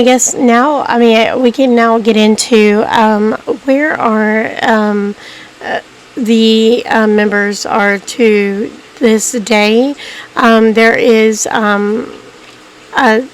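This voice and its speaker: A woman with a hoarse, cracking voice, hosting a documentary-style video and talking casually to viewers, rambling with many ums and restarts. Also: on the high side, steady tone, extremely slow, slight background noise, low-quality recording. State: hoarse, losing her voice. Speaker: YouTube documentary host. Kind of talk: casual unscripted narration to viewers.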